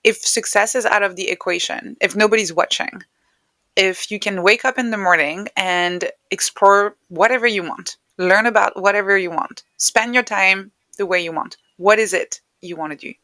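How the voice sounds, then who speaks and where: A woman's voice: medium pitch, moderate pace, sharp tone, dry recording. A woman speaking as an interview guest, explaining and reflecting on personal experience in an articulate, conversational way. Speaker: podcast guest, author and neuroscientist.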